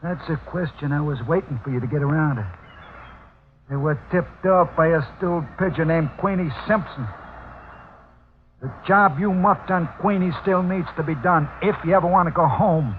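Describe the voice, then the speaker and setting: A man's voice: a little high, moderate pace, close to an everyday voice. Actor as a slangy gangster henchman, tinny radio. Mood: admiring, insistent. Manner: slangy tough-guy talk. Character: tough, loyal gangster henchman talking to his boss. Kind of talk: 1940s radio crime acting. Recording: thin, phone-like old radio recording, faint noise.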